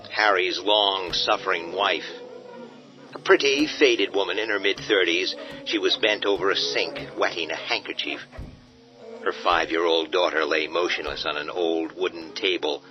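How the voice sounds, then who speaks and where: A man giving measured, dramatic scripted narration of a horror radio drama. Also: sits low. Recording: dull lo-fi audio with faint music.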